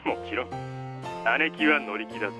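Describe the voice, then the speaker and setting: A man's voice: medium pitch, rapid-fire, over music, lightly acted. A man voicing a cocky, persuasive adult schemer in a Japanese visual novel, with casual, coaxing speech.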